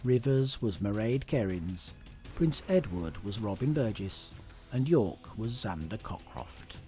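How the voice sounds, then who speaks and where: A man's voice: lowish voice, sing-song, measured pace, dark tone, faint music behind. A man announcing the credits of an audio drama as scripted narration.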